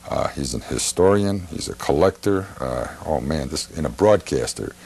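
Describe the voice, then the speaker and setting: A man hosting a TV talk show, introducing things informally in a halting, meandering way and repeating himself. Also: very deep voice, animated, vocal fry, a little mellow, husky.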